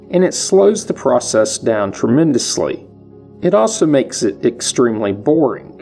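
A man hosting his own history podcast, reading a measured, matter-of-fact scripted monologue. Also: medium pitch, gravelly, warm tone. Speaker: podcast host.

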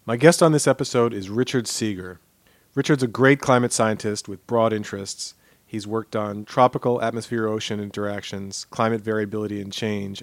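Middle aged guy hosting an interview podcast, lowish voice, really dry close recording. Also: dry recording. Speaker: podcast host interviewing a guest. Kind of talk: scripted podcast introduction and conversational interview.

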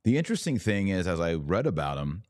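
A man hosting a podcast, giving conversational news commentary in a deliberate, emphatic way with drawn-out pauses. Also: deep voice, very animated, darkish tone, no echo, clean studio sound.

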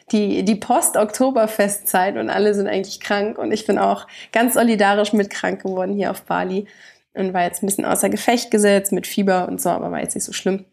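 A woman's voice: mid-range voice, vocal fry, a little mellow. Woman with a stuffy, congested nose. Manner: chatty and rambling, with many restarts. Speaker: podcast host. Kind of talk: casual personal podcast chat. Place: home bedroom, sitting on a bed in the evening.

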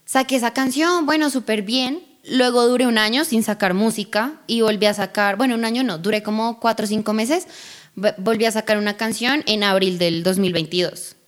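A woman's voice: a little high, normal speed. A woman in her twenties with a Bogotá accent, a singer being interviewed, talking in a bubbly, rambling way with laughs. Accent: Bogotá Spanish. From Colombia. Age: 20s. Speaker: singer guest. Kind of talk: casual interview.

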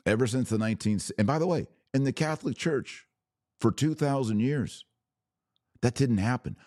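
A man hosting a podcast, giving religious commentary in a deliberate way with pauses and emphatic phrasing. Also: mid-range voice, a little mellow, no room sound, studio quality. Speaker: podcast host.

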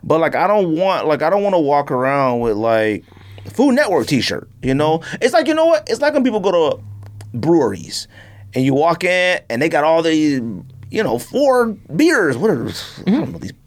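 Animated man chatting on a podcast. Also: on the high side, normal speed. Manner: animated, slangy, acts out imagined quotes. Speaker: podcast host. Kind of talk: casual podcast chat.

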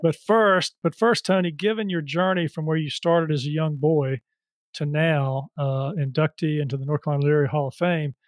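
Chatty podcast host, higher-pitched man. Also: fairly even intonation, a little fast, dry recording. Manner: loose, chatty, filler-heavy. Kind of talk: podcast interview.

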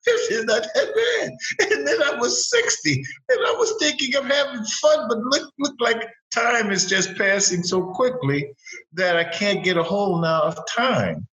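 Animated man in his seventies, echoey room. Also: very high voice, unhurried. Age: 70s. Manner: animated storytelling, repeats himself, exclamations. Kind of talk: casual group video chat. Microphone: video call.

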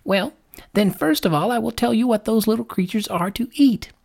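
Storybook lark , played by a woman: cocky male bird, exultant and emphatic, raised animated pitch. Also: moderate pace, gravelly. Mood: triumphant, teasing. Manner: singsong, emphatic, proclaiming. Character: exultant, saucy male lark, confident and scolding. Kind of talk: storybook character voice.

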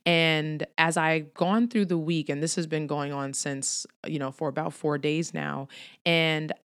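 Low-voiced woman hosting a podcast. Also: steady tone, measured pace, a bit bright, clear, resonant tone, no room sound, studio quality. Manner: earnest, encouraging, repeats phrases for emphasis. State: under the weather, voice a little off. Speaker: podcast host. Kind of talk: solo podcast talk.

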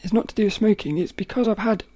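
A woman with a rough, cracking voice, hosting a podcast and thinking aloud in a rambling way, often laughing. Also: mid-range voice, quick pace, dark tone, no echo. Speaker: podcast host. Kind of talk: casual reflective monologue.

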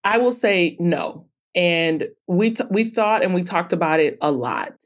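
Woman, lower-pitched voice, emphatic explainer, conversational podcast guest. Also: expressive, a little slow. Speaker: guest author and anthology publisher.

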